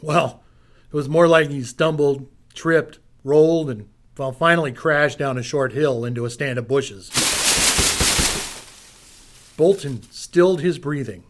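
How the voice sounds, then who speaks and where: Theatrical male narrator, slightly high-pitched. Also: measured pace, a little hiss or hum. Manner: theatrical, suspenseful storytelling. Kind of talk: dramatic scripted radio adventure narration.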